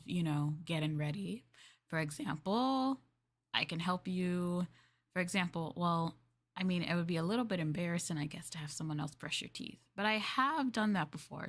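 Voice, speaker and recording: A woman voicing a polite, eager young maid in an improvised roleplay ASMR stream, speaking softly and a little hesitantly to her master. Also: fairly low pitch, close to an everyday voice. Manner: soft, polite, hesitant, apologetic, eager to please. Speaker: VTuber streamer playing a maid for live chat.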